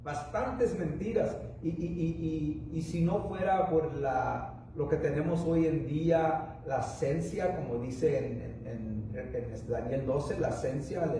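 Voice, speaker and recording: A man's voice: fairly high pitch, warm tone, very echoey, distant mic, slight background noise, low-quality recording. A man preaching a sermon, a native English speaker who halts and restarts often as he speaks. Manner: rambling, halting with many restarts and fillers. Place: church.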